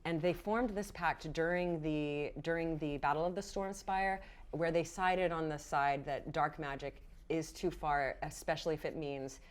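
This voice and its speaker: A woman's voice: deep voice, fairly even intonation, normal speed. A woman game master narrating a fantasy tabletop roleplaying game in a playful, theatrical storytelling manner. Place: indoor studio set.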